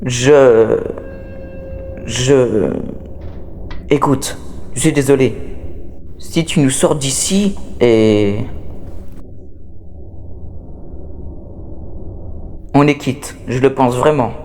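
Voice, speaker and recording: A man voicing an anxious, reluctant toy creature with a halting delivery, in an amateur horror game dub. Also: medium pitch, darkish tone, slight background noise, close to an everyday voice. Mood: uneasy, apologetic. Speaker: amateur voice dubber.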